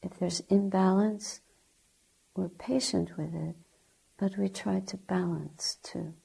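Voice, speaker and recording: Very slow, dark husky woman guiding meditation. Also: medium pitch, steady tone, poor audio. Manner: gentle, deliberate, with long pauses between short phrases. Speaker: Buddhist nun, meditation teacher. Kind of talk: guided meditation instruction.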